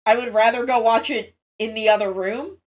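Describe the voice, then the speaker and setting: A woman's voice: on the high side, moderate pace. A woman with a congested, sniffly nose chats casually to livestream viewers, rambling, interrupting herself and swearing freely.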